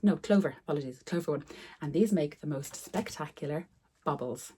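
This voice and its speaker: A woman's voice: sits low, lively intonation, fairly quick, mellow, slight background noise. A middle-aged woman who is losing her voice, chatting casually to camera at home, often repeating words for emphasis. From Ireland. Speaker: sewing vlogger. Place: room upstairs at home.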